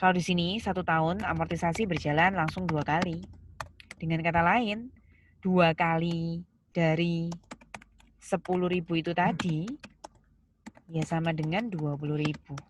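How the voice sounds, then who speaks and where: Low-pitched woman teaching, noisy recording. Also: steady tone, normal speed, pauses often. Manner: didactic, asks questions and answers them, stresses key terms. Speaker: accounting lecturer. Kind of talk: step-by-step lesson explanation. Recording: clear background noise, keyboard clicks.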